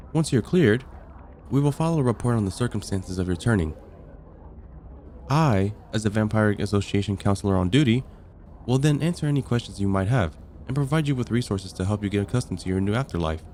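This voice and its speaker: A composed vampire counselor acted by a man speaks in a lowish voice, measured and clinical, like an official long in the job. It is an ASMR roleplay with matter-of-fact delivery, over noticeable background noise. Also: animated, dark tone, lightly acted. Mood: official, calm.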